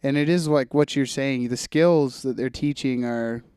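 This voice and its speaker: A man's voice: mid-range voice, unhurried, a little mellow, clean tone, no room sound. Clean, close-sounding young man chatting. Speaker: podcast host. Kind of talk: casual conversational podcast chat.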